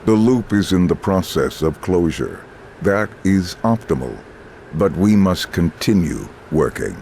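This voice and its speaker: Alien intellect, profoundly low pitch, calm, formal, stilted, detached, game character. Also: male voice, measured pace, a little hiss or hum. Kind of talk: cinematic game voice acting.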